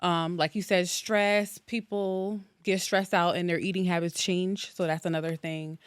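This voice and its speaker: A woman's voice: fairly low pitch, steady tone, a little slow, clean tone, dry recording, clean studio sound. A woman who works as an esthetician, a guest on a casual podcast, speaking in a candid, chatty, matter-of-fact way. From Norwalk, Connecticut, USA. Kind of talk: casual interview chat.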